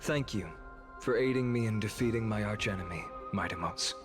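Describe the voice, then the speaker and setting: A man's voice: lowish voice, a little fast, natural voice. A man playing a nonchalant young hero in a video game, casual and wry with an earnest side. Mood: sincere, subdued. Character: nonchalant young male hero, teasing yet sincere. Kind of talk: dramatic video game voice acting. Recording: game dialogue over loud music.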